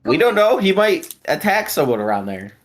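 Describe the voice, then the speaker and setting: A man's voice: high voice, very animated, moderate pace, soft background music. A man chatting casually as a player in a tabletop role-playing game. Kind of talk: casual chat.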